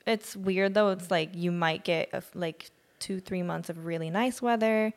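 A young woman from Sweden whose first language is Swedish. She chats in a relaxed, frank way and swears casually. Her voice is fairly low with a lot of gravelly fry. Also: normal speed. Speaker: guest. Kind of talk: casual chat.

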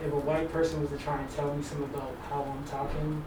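Young man, blunt and halting, a little high-pitched, distant mic in a reverberant room. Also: level tone. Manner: blunt, candid, halting with many fillers. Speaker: student in a counseling program. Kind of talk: casual group discussion. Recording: echoey room, distant mic, background noise.

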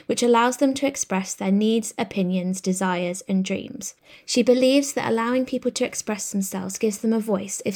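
A woman's voice: mid-range voice, a little mellow. A woman hosting a podcast, reading a prepared intro and then chatting encouragingly with her guest. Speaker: podcast host. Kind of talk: scripted intro, then casual interview.

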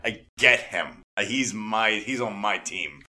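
A man co-hosting a podcast interview, chatting casually in an excitable, blunt, slangy way and often repeating himself as he goes. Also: on the low side, measured pace. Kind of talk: casual enthusiastic chat.

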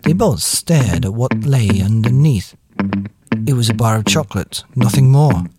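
A man reading a children's story as an expressive narrator. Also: mid-range voice, measured pace, breathy edge, no echo. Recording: music behind the voice.

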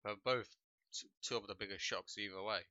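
A man's voice: fairly low pitch, fairly quick, dry recording. Young Polish-born man, dull lo-fi stream audio. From Poland. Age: young adult. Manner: relaxed, rambling, heavy with fillers like "like" and "bro". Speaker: streamer and podcast host. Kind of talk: casual chat on a livestream. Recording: dull lo-fi home mic sound.

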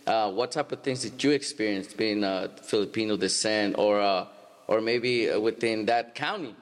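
Host man in a reverberant hall. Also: on the low side. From Stockton, California. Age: 30s. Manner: halting, many restarts and fillers. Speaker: podcast host. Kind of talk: casual church podcast interview.

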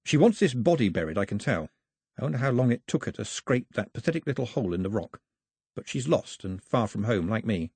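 Man doing a halting, stoic island boy. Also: mid-range voice, sing-song, gravelly, a little mellow, slightly rough, no echo, clean studio sound, exaggerated voice. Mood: thoughtful. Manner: halting, careful speech.

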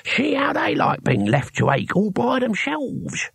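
A man voicing a gruff, wry old barbarian hero in a storybook, toothless and mumbling, with colloquial grumbling speech. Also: on the high side, expressive, measured pace, no echo, exaggerated voice. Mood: awed, gleeful. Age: older.

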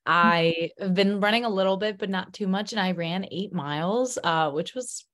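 A woman's voice: mid-range voice, normal speed, a bit bright. A young woman from Chicago co-hosting a casual podcast, bubbly and chatty, laughing often and using many fillers. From Chicago, United States. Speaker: podcast co-host.

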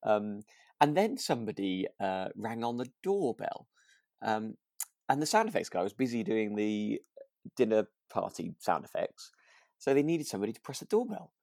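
A man's voice: lowish voice, very animated, normal speed, gravelly, a little mellow, no echo, studio quality. A British man co-hosting a podcast, chatting casually and telling stories in a self-deprecating, hesitant way. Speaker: podcast co-host. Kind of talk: casual anecdotal chat.

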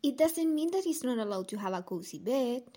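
A woman playing a caring, gentle, teasing young woman in an audio drama. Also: a little high, expressive, a little fast, slightly rough.

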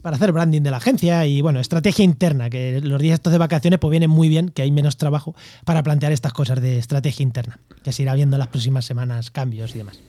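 A man's voice: on the high side, fairly even intonation, fast, dark tone, no room sound. A man hosting a podcast, talking in a chatty, joking, self-deprecating way. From Castilla-La Mancha, Spain. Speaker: podcast host. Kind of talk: casual podcast chat.

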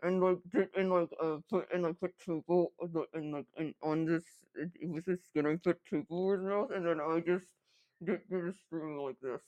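A man's voice: a little high, fairly even intonation, moderate pace, long pauses, a little mellow, muffled recording. A man chatting as a podcast guest, stammering and joking around. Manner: stammering, playful. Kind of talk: casual chat.

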